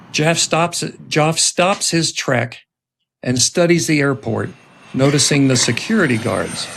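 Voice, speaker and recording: Casting: male narrator for screenplay stage directions, mid-pitched with vocal fry, clear background rumble on the recording. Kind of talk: dramatic scripted screenplay narration.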